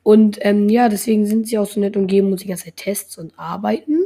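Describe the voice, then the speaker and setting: A woman's voice: mid-range voice, fairly even intonation, a little fast, warm tone. A young woman in her teens hosting a casual podcast, rambling along with lots of filler words. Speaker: podcast host.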